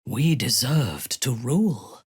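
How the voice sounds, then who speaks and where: A woman playing a haughty, menacing dark elf warrior commander in a video game, with a husky voice and a cold, imperious, deliberate delivery. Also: a bass voice, sing-song, no echo, clean studio sound, exaggerated voice. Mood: furious. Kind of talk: dramatic video game voice acting.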